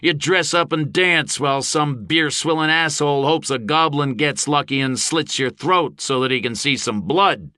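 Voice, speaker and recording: A man playing an impassioned, loud battle-rallying bandleader. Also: fairly high pitch, moderate pace, hardly any pauses, no echo, studio quality, voice pushed up. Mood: scornful, challenging. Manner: impassioned, declamatory, shouting oratory. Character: rousing male mercenary bandleader rallying an army before battle. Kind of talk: audiobook fantasy character acting.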